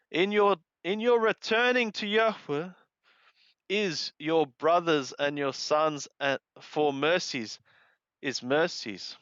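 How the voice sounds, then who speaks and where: Very slow, smooth-voiced man reading scripture. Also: high voice, a bit bright, no echo, muffled recording. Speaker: reader and translator of scripture. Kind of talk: scripture reading alternating Hebrew with literal English translation.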